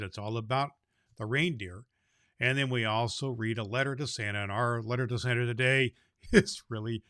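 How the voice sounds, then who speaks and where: A man playing a jolly, big-hearted Santa Claus who hosts a children's holiday story podcast in a folksy, halting, rambling way. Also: mid-range voice, fairly bright tone, no room sound, studio quality.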